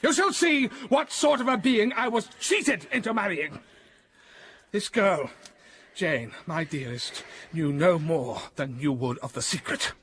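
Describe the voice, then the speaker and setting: An actor playing a fierce, passionate gentleman bridegroom in a radio drama. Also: high voice, lively intonation, a little slow, slight background noise, heavily acted. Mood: vehement. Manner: forceful, passionate outbursts.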